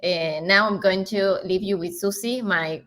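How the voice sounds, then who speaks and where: A woman from Venezuela introduces herself on a video call, cheerful but halting, with plenty of small pauses. Her pitch sits in the middle of the range. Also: steady tone, a bit bright. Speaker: content manager. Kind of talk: casual team introduction.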